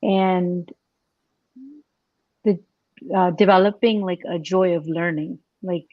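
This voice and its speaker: A woman's voice: lowish voice, steady tone, slow pace, no echo, dull audio. A woman guest on a podcast chatting casually and reflectively, in a tentative way peppered with "like". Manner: tentative, frequent "like". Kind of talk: casual, reflective panel chat.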